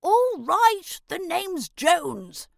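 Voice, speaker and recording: A woman voicing a grumpy, gruff little male caterpillar in a storybook reading, indignant and bossy. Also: very high voice, big pitch swings, extremely slow, no room sound, studio quality, voice pushed up, exaggerated voice.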